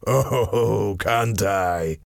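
A man acts out a cunning French ambassador in his forties for a storybook. He is cold and smooth-tongued, drawing his words out slowly in a low, creaky voice with quiet menace. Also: dry recording, studio quality, exaggerated voice. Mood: cold, menacing.

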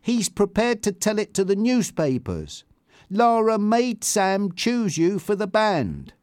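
A man's voice: high-pitched, expressive, unhurried, a little mellow, dry recording, studio quality, pitched above the performer's own voice. A man voicing a guarded, jealous young woman with an earnest, defensive manner. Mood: insistent.

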